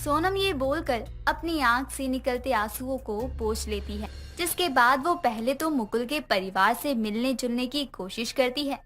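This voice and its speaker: Woman storyteller, high voice, scripted narration with faint music under it. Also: expressive, moderate pace, few pauses, fairly bright tone.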